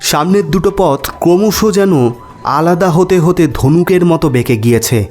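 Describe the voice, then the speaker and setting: A man narrating an audio story as its host, in a measured, dramatic and expressive storytelling manner. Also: on the high side. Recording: background music under voice.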